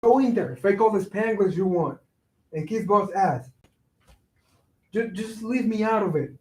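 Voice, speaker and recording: A man's voice: sits high, unhurried. A man playing a hurt, resentful brother in a staged reading, speaking in a heated, accusing way. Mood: bitter, accusing. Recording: roomy echo, distant microphone.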